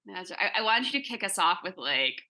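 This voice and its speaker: A woman's voice: lowish voice, brisk, slight background noise. A woman speaking as a creator on a casual panel over a video call, interrupting herself and repeating words for emphasis. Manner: animated wording, self-interrupting, emphatic repetition. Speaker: comic book creator and panel guest.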